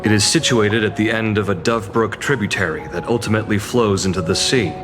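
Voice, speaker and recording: A man's voice: fairly low pitch, steady tone, a little fast, over music, natural voice. A man voicing a courteous, formal male guide who is easily flustered in a video game.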